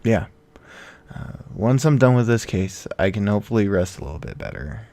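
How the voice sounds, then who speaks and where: A man's voice: on the low side, sing-song, normal speed, gravelly, dark tone. A man playing a weary, self-doubting investigative podcaster in a naturalistic audio drama, talking in a hesitant, conversational way. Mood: wry, easing. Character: male journalist podcaster, weary, anxious, self-doubting boyfriend.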